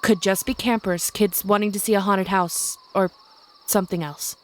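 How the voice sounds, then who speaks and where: An actress playing an older, hardened witch who leads and protects her coven, speaking in a grave, measured, commanding way in an audio drama. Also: medium pitch, fairly even intonation, natural voice. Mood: urgent, commanding.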